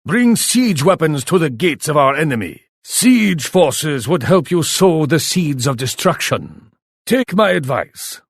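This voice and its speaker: An actor playing an arrogant, imperious Japanese warlord in a video game, with a haughty and commanding manner. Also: male voice, fairly high pitch, creaky, a bit bright, dry recording, clean studio sound, heavily acted. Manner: haughty, commanding, speaks of himself in third person. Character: arrogant, imperious Japanese warlord who scorns allies and enemies. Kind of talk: video game villain-rival voice lines.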